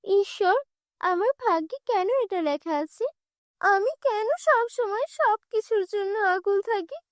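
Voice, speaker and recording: Woman playing a very high-pitched emotional young girl. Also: a little slow, no echo, studio quality. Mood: despairing, tearful. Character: poor young village girl, emotional and brave.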